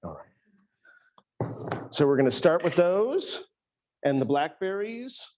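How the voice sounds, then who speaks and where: Chatty chef with a higher voice. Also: male voice, very animated, slow. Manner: casual, chatty, many restarts. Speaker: executive chef. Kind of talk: cooking demonstration. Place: teaching kitchen.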